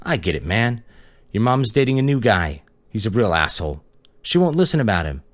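A man's voice: lowish voice, very animated, fairly quick, pitched above the performer's own voice. A man voicing a tired young male detective who pushes hard during questioning. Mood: pushy. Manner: pushy, needling.

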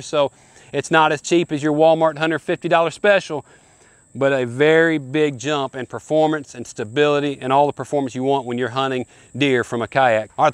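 A man in his forties hosts a hunting show and walks through kayaks with easy, folksy enthusiasm. His voice sits a little high and comes through smooth and resonant. The sound is dry and close, with no room around it. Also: dry recording. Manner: upbeat, folksy salesman-like patter. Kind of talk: product review walkthrough. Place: outdoors on a lakeshore.

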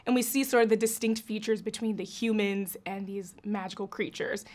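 A woman's voice: mid-range voice, fairly even intonation, brisk, darkish tone. A woman in her thirties with an American accent, interviewing in a casual, enthusiastic, chatty way. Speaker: entertainment interviewer. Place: indoor junket interview set, seated.

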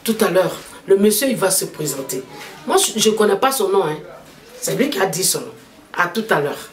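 A woman hosting a casual interview on a veranda, talking in a lively, teasing, loud way. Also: medium pitch, moderate pace, echoey room, recorded from a distance, music in the background. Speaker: interviewer host. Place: outdoor veranda.

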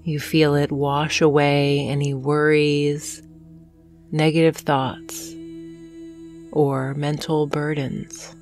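A woman narrating a guided hypnosis meditation in a soothing, gentle manner, leaving long pauses between phrases. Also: sits low, flat delivery. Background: soft meditation music with singing bowls.

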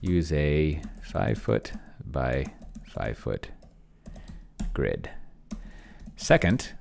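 A man's voice: extremely low pitch, wildly swooping pitch, very slow, vocal fry, darkish tone, slight background noise. A middle-aged man casually commenting on a live stream, thinking aloud and muttering in fragments as he types. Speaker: streamer and solo role-player. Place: small indoor home room. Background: computer keyboard typing.

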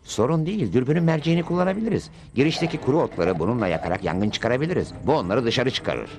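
A man's voice: mid-range voice, lively intonation, quick pace, a little mellow, close to an everyday voice. A man voicing a brave, confident cowboy hero in a dubbed western cartoon. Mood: matter-of-fact. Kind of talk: Turkish-dubbed cartoon acting.

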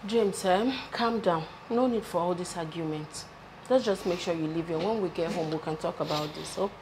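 A woman's voice: on the low side, normal speed, close to an everyday voice. Woman acting worried peacemaker, noisy background. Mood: placating. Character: worried, placating woman soothing an injured man. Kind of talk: film drama dialogue.

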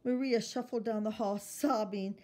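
Expressive woman reading a children's story, higher-pitched. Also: normal speed. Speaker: storyteller reading a picture book aloud. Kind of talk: expressive children's read-aloud narration.

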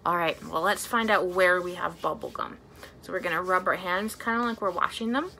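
A woman in her thirties leading a storytime for young children as a librarian, talking in an animated way with simple, exaggerated phrasing. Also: on the low side, fairly quick, gravelly, slight background noise, poor audio. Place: small plain indoor room.

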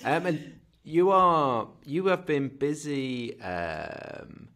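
A man's voice: a little high, sing-song, very slow, fairly bright tone. A man in his thirties with a Scouse accent, co-hosting a podcast in casual chat, joking and rambling with lots of fillers. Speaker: podcast co-host. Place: home room, indoors. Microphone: desk condenser mic on video call.

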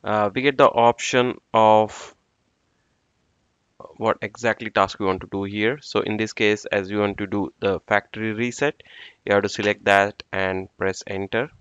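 A man's voice: fairly low pitch, fairly even intonation, moderate pace, a bit bright, smooth, no echo. A man narrating a screen-recorded networking tutorial, talking through each step as he works, with fillers. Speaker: network engineer instructor. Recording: dull dry lo-fi screen recording.

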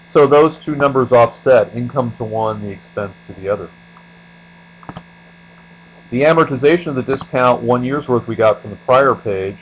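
A man giving an explanatory screencast lecture as an instructor, speaking deliberately and letting the ends of phrases trail off. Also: mid-range voice, rough recording. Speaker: accounting instructor. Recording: steady mains hum behind voice.

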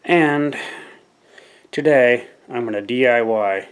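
Young host with a blocked-up cold voice, plain mid pitch, walks viewers through a build outdoors. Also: male voice, slow pace, smooth, no room sound. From Canada. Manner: chatty, explains steps to the camera. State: stuffy from a cold. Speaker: fishing channel host. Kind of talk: casual DIY how-to vlog. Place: outdoor driveway by a garage. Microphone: camera mic, talking to the lens up close.

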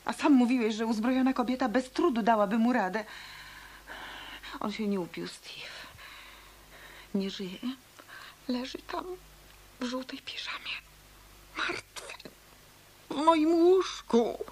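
An actress playing an anxious, world-weary woman in a Polish radio detective play, with a husky, breathy voice and a halting, hushed delivery. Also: on the high side. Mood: shaken, hushed.

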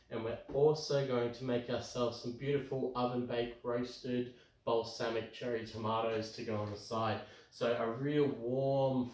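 Croaky Australian man hosting a cooking show. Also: medium pitch, slight background noise. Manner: chatty, upbeat, lots of ums. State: croaky from tonsillitis. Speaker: home cooking show host. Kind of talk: casual cooking demonstration. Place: home kitchen, indoors. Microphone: camera across the kitchen. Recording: roomy kitchen sound, far from mic.

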